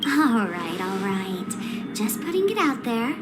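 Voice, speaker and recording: A woman's voice: a little high, big pitch swings, takes it slowly, loud music behind the voice. An actress playing a sly, playful trickster woman in a video game, teasing in a flippant, theatrical way. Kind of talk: scripted dramatic video game cutscene dialogue.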